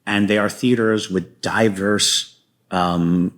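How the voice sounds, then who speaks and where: Male playwright guest, low voice. Conversational interview, enthusiastic, halting and discursive. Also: fairly even intonation, a bit bright.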